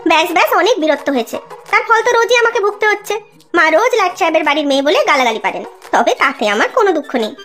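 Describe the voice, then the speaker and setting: Brisk, high-voiced chiding mother. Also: lively intonation, a bit bright, soft background music. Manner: coaxing, complaining. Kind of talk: Bengali children's cartoon acting.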